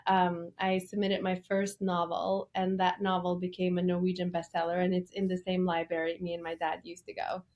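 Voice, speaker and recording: A woman from Norway, an author interviewed as a guest, telling her story with enthusiasm and loose fillers. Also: on the low side.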